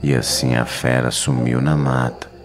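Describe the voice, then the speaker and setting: A man's voice: rumbling low, flat delivery, unhurried. A Brazilian man telling a scripted horror story, solemn and suspenseful, in short weighted phrases. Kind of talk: dramatic scripted horror narration. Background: music.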